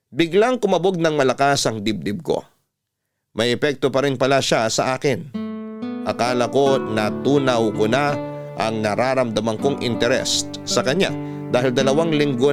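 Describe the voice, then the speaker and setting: A man with a Filipino accent, a radio host reading a listener's letter as a first-person scripted story. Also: mid-range voice.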